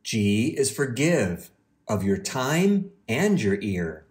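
Guy reading a kids picture book out loud, really slow and careful, voice goes up and down a lot. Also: medium pitch, very animated. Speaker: university president, guest reader. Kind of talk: children's storybook read-aloud.

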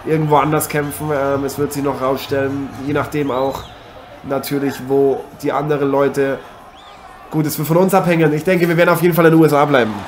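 Man acting as boxer co-commentating, raised pitch, dramatic, halting, parody radio play, noticeable background noise. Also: level tone, lightly acted. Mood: earnest. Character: American boxer Matthew Ironhead co-commentating, dramatically aghast. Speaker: boxing co-commentator. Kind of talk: improvised parody live sports commentary. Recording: noisy amateur recording with ambience.

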